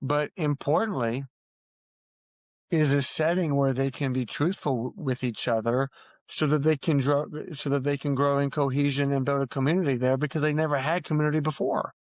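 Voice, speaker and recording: A man's voice: mid-range voice, steady tone, normal speed, dry recording. A man speaking as a guest author in an interview, in a reflective, storytelling way with emphatic points. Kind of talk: earnest conversational interview answers.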